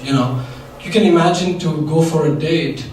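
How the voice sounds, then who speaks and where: A man's voice: fairly high pitch, moderate pace, a little mellow, slight background noise. A young man from India telling a wry, funny personal story to a live audience. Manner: wry, dry punchlines with audience laughter. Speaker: storyteller on stage. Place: live event venue. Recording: echoey hall, mic from far. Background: audience laughter.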